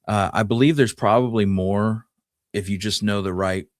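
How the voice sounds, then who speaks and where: Low-voiced man talking history. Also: fairly even intonation, normal speed, dry recording, studio quality. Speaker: co-host of a Western history show. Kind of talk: casual conversational history discussion.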